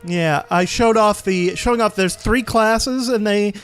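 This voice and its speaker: A man's voice: high-pitched, a little slow. A man giving casual gameplay commentary as a let's play host, explaining in a rambling, hesitant way. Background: game menu music.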